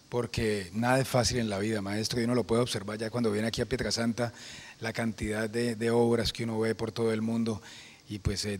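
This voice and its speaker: Male TV interviewer, Colombian, husky voice, deferential and long-winded. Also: medium pitch. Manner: deferential, wordy. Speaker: interviewer, news director. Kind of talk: broadcast interview questions.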